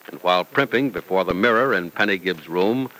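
A man's voice: low voice, lively intonation, a little fast, bright, forceful tone, low-quality recording. A man announcing and narrating in a polished, emphatic, scripted vintage radio style.

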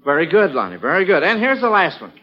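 A man's voice: sits high, very animated, fast talker. A man with an American accent hosting a 1940s radio quiz in a folksy, encouraging way, often echoing contestants' answers. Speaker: quiz show host. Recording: muffled, noisy vintage radio recording.

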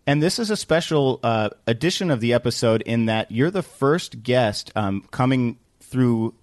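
Informal male podcast host, mid-pitched. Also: lively intonation, normal speed, no room sound, studio quality. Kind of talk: casual conversational interviewing. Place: production studio.

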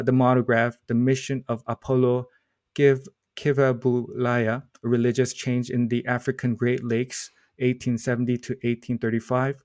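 A man hosts an academic podcast, working through long formal introductions in a measured, careful way. He trips over a name now and then and fills the gaps with a soft "uh". The sound is dry and close, with an even middle pitch. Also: no room sound. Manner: measured, reads formal bios with small stumbles and fillers. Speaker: podcast host. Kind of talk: reading a guest introduction, then interviewing.

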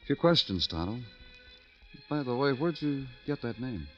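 A man's voice: medium pitch, animated, normal speed, natural voice. An actor playing a terse, world-weary male police detective, clipped and dry, in an old radio crime drama. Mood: curt. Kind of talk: 1940s-50s radio crime drama acting and narration. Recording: muffled old radio recording with music.

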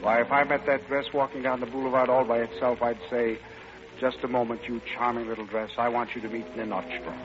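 An actor playing a suave, playful romantic gentleman, urbane and teasing, in an old-time radio drama. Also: mid-range voice, steady tone, a little fast, lightly acted. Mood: flirtatious. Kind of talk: 1940s-style radio play acting. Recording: muffled, noisy old radio broadcast.

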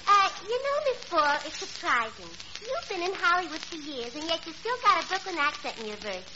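A woman's voice: very high-pitched, big pitch swings, fairly quick. An actress playing a daffy, man-crazy young tavern girl with affected genteel chatter in a 1940s radio comedy. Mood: affected politeness. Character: homely, man-crazy tavern owner's daughter, daffy and envious. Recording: muffled, hissy old radio broadcast.

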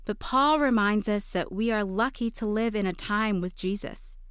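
A woman's voice: medium pitch, moderate pace, a bit bright, smooth, dry recording, studio quality. A woman reading a scripted daily devotional for a podcast.

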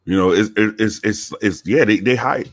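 A man from the Bronx with a very deep voice, telling profane, animated stories with many restarts in a casual interview. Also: moderate pace, dry recording, clean studio sound. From the Bronx, New York. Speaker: guest, former police officer.

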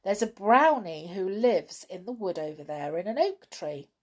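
A woman's voice: mid-range voice, very animated, unhurried. A woman voicing a large, curious, helpful male rabbit in a children's storybook. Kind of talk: children's storybook read aloud.

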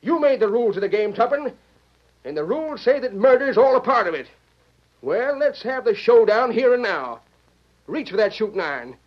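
A fiery old American frontier businessman in a radio Western. His voice sits very high for a man, and he blusters stubbornly in folksy frontier speech. The sound is dull, lo-fi vintage radio. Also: sing-song, moderate pace. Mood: furious, defiant. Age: older. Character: fiery, stubborn old frontier stage line owner, a father.

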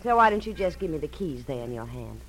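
An actress playing a cool, mocking thief with a Southern US accent in a 1940s radio noir drama, taunting and falsely sweet. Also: female voice, low voice, big pitch swings, fast talker, fairly bright tone, slight background noise, low-quality recording. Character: cool, mocking female gun-toting thief.

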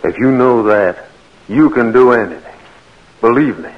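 An actor playing a tender, resolute young Southern soldier in a 1940s radio drama. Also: male voice, medium pitch, moderate pace, close to an everyday voice. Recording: muffled old radio recording.

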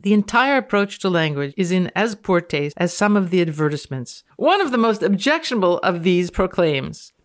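A woman with a Canadian accent and a medium-pitched voice, appearing as a reader and commentator on a podcast. She reads with theatrical emphasis and talks in a playful, mock-authoritative manner. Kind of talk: dramatic reading of a text with casual reactions.

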